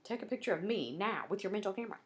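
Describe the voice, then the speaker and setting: A woman's voice: mid-range voice, lively intonation, rapid-fire, pitched above the performer's own voice, natural voice. Woman as eager, curious schoolboy.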